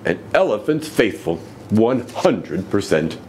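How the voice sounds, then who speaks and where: An earnest, long-suffering male elephant from a storybook, as a man reads him. He declares himself emphatically, with a hugely animated pitch. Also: unhurried, slight background noise. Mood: steadfast, sad.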